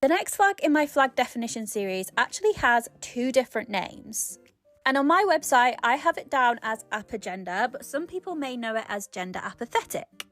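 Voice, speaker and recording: A woman giving a clear, steady explanation of a term. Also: on the high side. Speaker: content creator. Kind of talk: informational explainer.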